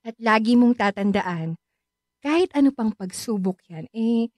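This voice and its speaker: A woman's voice: fairly high pitch, expressive, unhurried, darkish tone, no echo. A woman acting a proud, supportive aunt in a radio drama, effusive and encouraging, with a Filipino accent. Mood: proud, affectionate.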